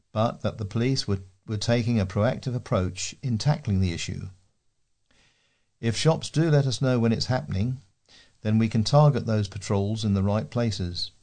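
A man's voice: on the low side, moderate pace, a little mellow. A man reading local news aloud as a volunteer newsreader.

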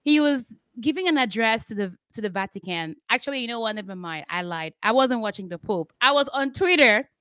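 Bright, clear-voiced woman podcast host. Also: a little high, brisk, hardly any pauses, no echo. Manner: chatty, animated storytelling. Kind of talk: casual podcast chat.

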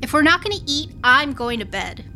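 A woman's voice: high-pitched, animated, brisk, pushed and bright, slight background noise, full character voice. An actress playing a cynical, defiant young woman with a sardonic, combative manner in an audio drama. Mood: angry.

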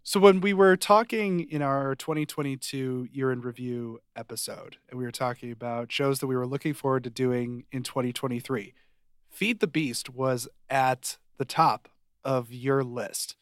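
A man's voice: medium pitch, normal speed, clear, resonant tone, no room sound, clean studio sound. A man speaking as a podcast co-host in a casual conversation about television. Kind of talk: casual TV review podcast chat.

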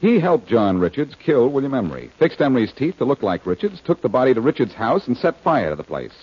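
A man's voice: medium pitch, sing-song, fast, husky. An American actor playing a confident, commanding, wisecracking male sleuth in 1940s radio detective style. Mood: accusing. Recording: dull old radio recording.

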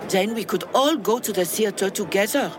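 An actress playing a wealthy, worldly older widow, elegant and teasing, in an audio drama. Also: female voice, mid-range voice, lively intonation, normal speed, crowd noise, close to an everyday voice. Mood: advising.

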